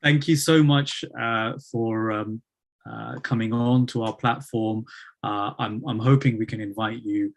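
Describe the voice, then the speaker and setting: A man's voice: lowish voice, steady tone, unhurried, gravelly. A man hosting an interview over a video call, asking questions hesitantly with many fillers. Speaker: host.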